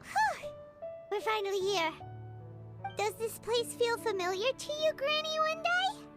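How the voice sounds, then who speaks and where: A woman voicing a tiny, chatty, childlike fairy companion in a video game, with a squeaky, perky delivery. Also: animated, measured pace, loud music behind the voice. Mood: eager, surprised. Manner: perky, refers to self in third person.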